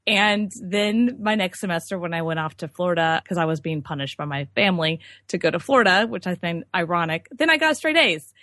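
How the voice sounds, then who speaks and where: A woman's voice: on the low side, animated, fairly quick, few pauses, fairly bright tone, dry recording, studio quality. A woman from Texas with no regional accent, a business coach telling her story as an interview guest in a chatty, candid way with many fillers.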